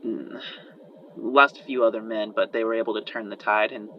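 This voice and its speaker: A man's voice: lowish voice, steady tone, moderate pace, lightly acted. A man as an eager, rambling female inventor, muffled. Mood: subdued, worried. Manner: hesitant, rambling, trailing off and sighing. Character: eager, chatty female inventor, worried and loyal. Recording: muffled band-limited audio under music.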